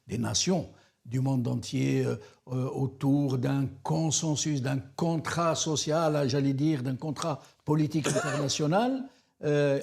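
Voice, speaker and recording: A man's voice: medium pitch, gravelly, warm tone. A man interviewing on a political programme, searching for his words and building long, rhetorical questions with repetitions. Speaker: interviewer, TV host.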